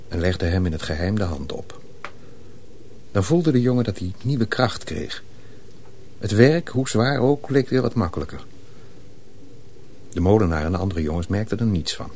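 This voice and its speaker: An actor narrating a tale in a radio play, in a measured, scripted storybook manner. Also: male voice, sits low, a little mellow. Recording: faint noise on old radio recording.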